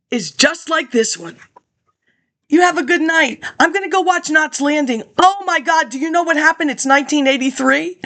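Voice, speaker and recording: A middle-aged woman with a high, bright-toned voice rambles on in a loose personal monologue. She sounds indignant, breaks into laughter often and jumps from one grievance to the next.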